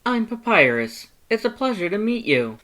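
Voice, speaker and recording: A high, projected voice for a giant, gentlemanly skeleton. He is cheerful and polite, declaiming each phrase with formal exuberance, like a grand character in an audio storybook. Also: very animated, normal speed, full character voice. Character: very tall, cheerful, polite, theatrical male skeleton. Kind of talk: storybook fan fiction character voice.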